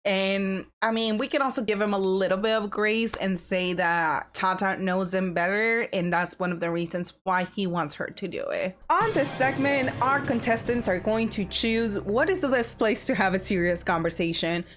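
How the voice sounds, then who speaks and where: A woman's voice: medium pitch, moderate pace, few pauses. Sassy, chatty woman host recapping TV. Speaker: reaction channel host. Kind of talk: casual recap commentary.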